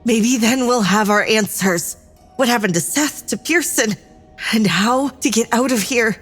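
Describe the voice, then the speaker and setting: A woman's voice: fairly high pitch, measured pace, soft background music. A woman playing an anxious, defiant crew member in an audio drama, speaking earnestly and with emotion. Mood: excited.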